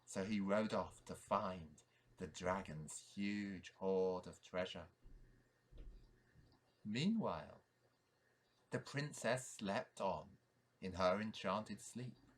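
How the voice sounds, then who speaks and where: A man, a priest, tells a fairy tale in a dramatic storytelling manner with deliberate, repeated phrases. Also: sits low. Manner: dramatic, repetitive, deliberate emphasis.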